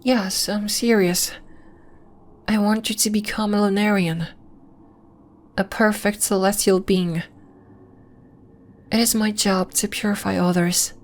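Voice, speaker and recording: A woman voicing a gentle, lofty alien moon healer in an ASMR roleplay, speaking in a soothing, formal, faintly condescending and measured way. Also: mid-range voice, steady tone, natural voice. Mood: gentle, earnest. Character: gentle, lofty female lunarian healer, celestial moon being. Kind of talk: ASMR roleplay, scripted, addressed to the listener.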